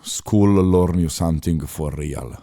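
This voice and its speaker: A deep, mellow-voiced Italian man in his thirties talks as a podcast guest. His English is halting and filled with pauses and restarts, but he speaks firmly. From Italy. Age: 30s. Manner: halting non-native phrasing with many fillers and restarts. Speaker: podcast guest, former chef. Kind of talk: casual interview talk.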